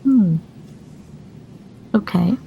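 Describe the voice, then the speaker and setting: A woman's voice: medium pitch, lively intonation, extremely slow, gravelly, phone-quality sound, a little hiss or hum. A woman playing a tabletop roleplaying game, chatting casually in character.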